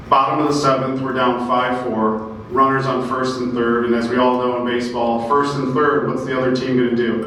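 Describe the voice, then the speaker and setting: A man giving an animated storytelling speech at a banquet as a former player. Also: medium pitch. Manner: animated anecdote-telling, acting out quotes. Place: banquet room. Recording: echoey room, distant mic, faint noise.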